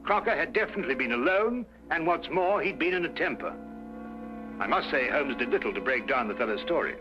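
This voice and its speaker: An actor playing an earnest, genteel detective's companion who also narrates an old-time mystery drama. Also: male voice, on the high side, animated, a little fast, over music, close to an everyday voice. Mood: measured storytelling. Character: earnest gentleman, detective's loyal friend and narrator. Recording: dull old radio recording.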